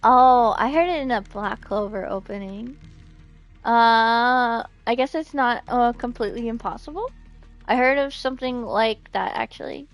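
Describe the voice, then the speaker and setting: A woman chatting casually on a game live stream, with a giggly, excitable manner. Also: on the high side, unhurried, no echo, faint music behind. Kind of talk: casual live-stream chat, reading out comments.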